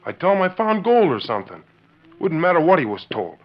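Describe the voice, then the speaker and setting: A man's voice: fairly high pitch, big pitch swings, brisk. A man acting as a spiteful, scheming young rancher's son in a 1940s radio western, with folksy cowboy phrasing. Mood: slyly confiding. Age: young adult. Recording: muffled, noisy old 1940s radio recording.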